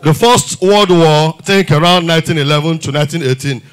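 A man's voice: a little high, a little fast, smooth. Nigerian man doing stand-up comedy. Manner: animated, mixes Pidgin and English, rhetorical questions. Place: large theater stage with audience. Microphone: handheld mic.

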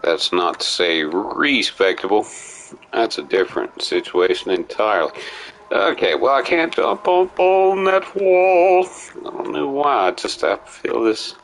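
A man's voice: mid-range voice, expressive, unhurried, slight background noise, poor audio. A man giving casual, playful and theatrical gameplay commentary as he streams, sometimes slipping into sing-song. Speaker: game streamer.

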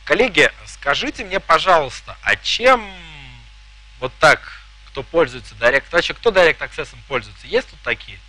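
A man's voice: fairly high pitch, very animated, normal speed, bright, forceful tone. A man in his thirties giving a live technical talk in a conference hall, joking and asking the audience questions. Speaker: conference presenter. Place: conference hall with a projector screen.

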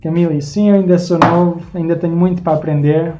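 A man's voice: on the high side, steady tone, brisk, mellow, muffled recording, soft background music. A young man in his twenties with a Lisbon Portuguese accent, chatting casually on a live stream as he thanks viewers by name. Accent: European Portuguese, Lisbon. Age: 20s. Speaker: live-stream host and artist. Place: small home room indoors.

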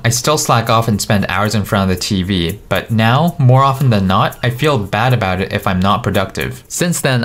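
A man in his thirties talking frankly and self-mockingly to camera in a small room at night, into a mic on a boom. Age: 30s. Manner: frank, self-deprecating, conversational. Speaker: vlogger. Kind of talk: candid confessional monologue. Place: dim small bedroom at night. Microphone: studio mic on boom in front.

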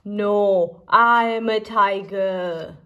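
A woman's voice: mid-range voice, steady tone, very slow, a bit bright, muffled recording, a little hiss or hum. A woman reading a picture book aloud expressively for young children, as a storyteller.

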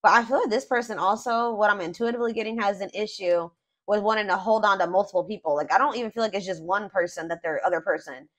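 A woman giving a casual tarot reading off camera, thinking aloud with fillers and restarts, her voice a little hoarse. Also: medium pitch, fast. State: voice going hoarse. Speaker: tarot reader.

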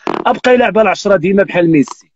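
A man's voice: high-pitched, lively intonation, rapid-fire, creaky, highs cut off, a little hiss or hum. A Moroccan man in his thirties hosting a live stream, teasing and telling jokes with frequent oaths. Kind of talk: joking casual chat. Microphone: phone on live video call.

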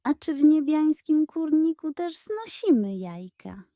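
A woman voicing a naive, gullible and excitable female hen in a children's audio tale, wide-eyed and awestruck. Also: high-pitched, a little slow, no room sound, studio quality, pitched above the performer's own voice, heavily acted. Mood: curious.

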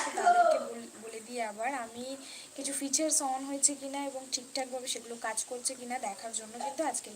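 A woman with a fairly high voice hosts a casual live stream. She talks off the cuff, circling back over her phrases and dropping in English words. Clear background noise runs through the recording. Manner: repetitive, mixes in English words. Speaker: live stream host reading and answering viewer comments.

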